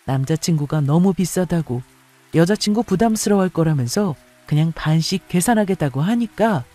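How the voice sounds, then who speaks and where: A woman's voice: fairly low pitch, lively intonation, normal speed, warm tone, soft background music. A woman reading a scripted first-person story as a narrator.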